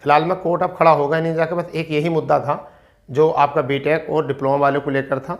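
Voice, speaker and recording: Higher-pitched man explaining to students. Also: level tone, a little fast. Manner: repeats key phrases for emphasis. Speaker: exam coaching teacher giving recruitment updates.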